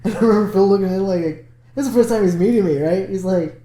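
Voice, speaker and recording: A man with a fairly high voice tells stand-up-style stories, acting out the quotes and laughing along. The room around him is echoey. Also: fast talker. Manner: animated storyteller who acts out quotes and laughs. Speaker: comedian. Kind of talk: casual chat. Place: room in Fresno. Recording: roomy echo.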